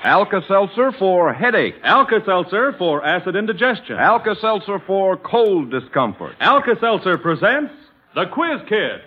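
A man's voice: high-pitched, big pitch swings, moderate pace. A man announcing in 1940s radio advertising style, on a dull and slightly noisy old broadcast recording.

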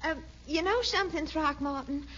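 An actress playing a coquettish, sugary middle-aged widow in 1940s radio comedy, coy and cooing. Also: female voice, high voice, normal speed, fairly bright tone. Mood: coy, hinting. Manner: coy, cooing, hesitant hints. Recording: muffled, noisy old radio recording.

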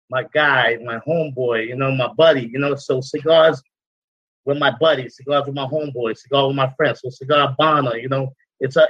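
A man's voice: mid-range voice, a bit bright, no room sound, dull audio. A man, talking casually as an interview guest about his cigar business, rambling with many "you know"s. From Guyana.

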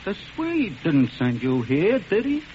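An actor playing an excitable American hoodlum sidekick with streetwise slang in a 1940s radio drama. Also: male voice, a little high, a little slow. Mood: suspicious. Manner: slangy, streetwise gangster talk. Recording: dull, hissy old radio recording.

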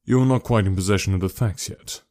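A man voicing a keen, analytical detective in an audiobook story, speaking in a quiet, dry, precise and instructive way. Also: sits low, fairly quick, no echo, lightly acted. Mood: dry, composed.

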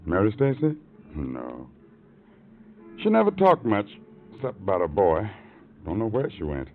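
An actor playing a gruff, brooding rooming-house man in a 1950s radio drama, musing in terse fragments. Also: fairly low pitch, huge pitch swings, a little slow, heavily acted. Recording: tinny, noisy old radio recording.

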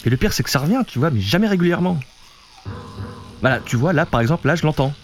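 An actor playing an exhausted, obsessed man tormented by a noise, speaking in an agitated, halting, repetitive way in a naturalistic audio drama. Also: fairly high pitch, lively intonation. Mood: fretful. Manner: agitated, halting, repeating himself.

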